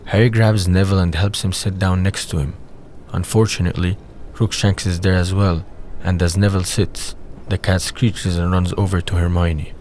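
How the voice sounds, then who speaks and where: A man's voice: low voice, flat delivery, moderate pace, darkish tone. A man reading scripted scene narration for an audio drama. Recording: faint background noise.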